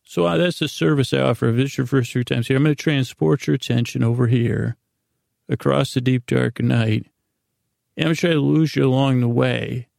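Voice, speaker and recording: Gravelly man rambling through a bedtime monologue. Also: medium pitch, steady tone, a little mellow, no echo, clean studio sound. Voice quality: gravelly, creaky. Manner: meandering, halting, tangent-prone. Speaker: podcast host.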